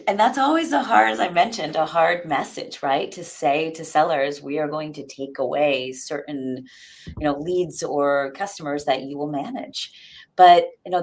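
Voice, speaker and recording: A woman speaking as an executive guest in an interview, explaining conversationally and often checking in with the listener. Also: low-pitched.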